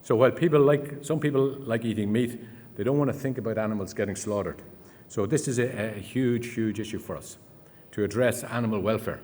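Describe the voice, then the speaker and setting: A man's voice: lowish voice, fairly quick, darkish tone. An Irish man giving a chatty conference talk, full of fillers, restarts and anecdotes. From Ireland. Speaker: conference speaker. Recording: long hall reverb.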